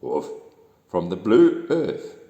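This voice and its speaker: A man's voice: low voice, wildly swooping pitch, takes it slowly, vocal fry, warm tone, highs cut off, full character voice. A man with a New Zealand accent voicing an earnest, emphatic dog who lives on the moon, in a children's storybook. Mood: explaining. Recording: echoey room with long reverb.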